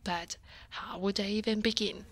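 A woman from Italy voicing a guarded but teasing female dragon office senior in a soft, breathy ASMR roleplay. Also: medium pitch, fairly even intonation, moderate pace, close to an everyday voice. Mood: amused, rueful. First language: Italian. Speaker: voice actor.

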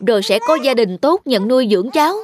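An actor voicing a down-on-his-luck thief with a soft heart in an animated cartoon, troubled and soothing. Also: extremely high pitch, fast talker. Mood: pleading, soothing. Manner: troubled, soothing, speaking to a baby. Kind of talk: Vietnamese animated cartoon dialogue. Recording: music behind the voice.

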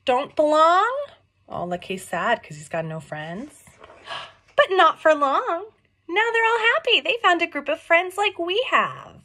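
A middle-aged woman reading a picture book aloud to young children, expressive and questioning, explaining things simply as she goes. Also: sits high, measured pace. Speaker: read-along storyteller for young children. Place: small room at home.

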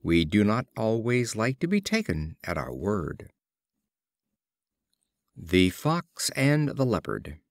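A man narrating short fables as a scripted storybook reading, in a deliberate, expressive storytelling manner. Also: lowish voice, no echo, clean studio sound. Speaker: audiobook narrator.

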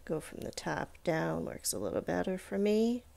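A woman's voice: lowish voice, normal speed, creaky, a little mellow. A woman giving an informal step-by-step drawing tutorial, thinking aloud and encouraging as she demonstrates. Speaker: drawing teacher.

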